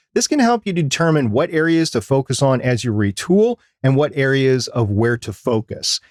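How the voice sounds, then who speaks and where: A man's voice: mid-range voice, a little mellow, no room sound, clean studio sound. A man hosting a podcast, giving advice in a semi-scripted style. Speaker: podcast host.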